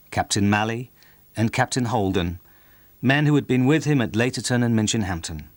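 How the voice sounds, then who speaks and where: A man's voice: mid-range voice, dry recording. A man narrating a historical documentary from a script, as its presenter.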